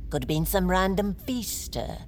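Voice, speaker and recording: A woman's voice: on the low side, very animated, normal speed, a little hiss or hum. An actress playing a hard-boiled henchwoman in an audio drama, matter-of-fact and clipped. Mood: businesslike. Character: hard-boiled female henchwoman reporting to her crime boss. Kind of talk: audio fiction drama acting.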